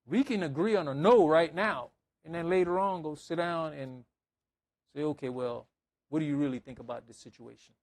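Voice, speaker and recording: A man with a fairly high voice delivers a conversational sermon. He speaks as a preacher, driving points home with emphatic repetition and everyday examples. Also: normal speed, a little mellow. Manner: emphatic, repeating phrases, acting out dialogue. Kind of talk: conversational sermon with scripture reading and anecdotes.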